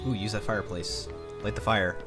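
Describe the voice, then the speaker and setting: A man's voice: on the low side, steady tone, brisk, over loud music. A man co-commentating on a video game with casual, wry banter. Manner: wry, joking remarks. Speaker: let's play co-commentator.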